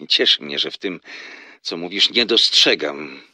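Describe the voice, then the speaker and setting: A man's voice: low-pitched, lively intonation, slow, bright, forceful tone, heavily acted. An actor playing a secretive, courteous man in a radio play, with a fine announcer's voice and an earnest, formal, hesitant manner. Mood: grateful, formal. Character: secretive man, courteous, evasive, apologetic, guarded about his work.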